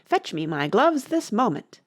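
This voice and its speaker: A woman's voice: mid-range voice, big pitch swings, unhurried, no echo, clean studio sound. A woman voicing an angry, imperious male rabbit who barks orders in a storybook reading. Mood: impatient. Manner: barking imperious orders, scolding. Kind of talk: storybook character voice.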